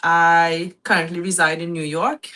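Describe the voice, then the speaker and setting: Bright Trinidadian woman, slightly low pitch. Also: flat delivery, a little slow. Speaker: talk show guest. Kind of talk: casual self-introduction and chat. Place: remote call on a phone.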